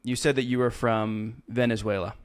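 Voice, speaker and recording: A man's voice: mid-range voice, steady tone, moderate pace, faint music behind. A man asking casual, conversational questions as the host of a podcast interview.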